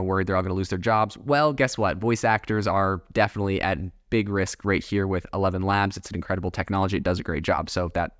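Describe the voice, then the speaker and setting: A man's voice: lowish voice, a bit bright, no room sound, clean studio sound. A young man hosting a solo podcast, chatting casually in a rambling way with many fillers and asides. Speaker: podcast host.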